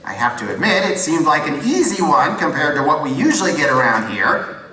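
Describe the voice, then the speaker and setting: A man's voice: on the high side, big pitch swings, a little fast, bright, forceful tone. A man playing an overconfident, dim but eager puppet host in a scripted comedy, with a bumbling manner. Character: Bob, goofy, overconfident, dim male puppet answer host. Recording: echoey, distant playback of prerecorded CD.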